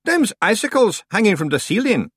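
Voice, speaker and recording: A man voicing a tall, shady stranger who talks loudly, bluntly and evasively. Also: high voice, very animated, moderate pace, breathy edge, no room sound, studio quality, pitched above the performer's own voice, exaggerated voice. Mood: gruff. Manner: loud, blunt, cagey. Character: tall dark stranger, loud and rough.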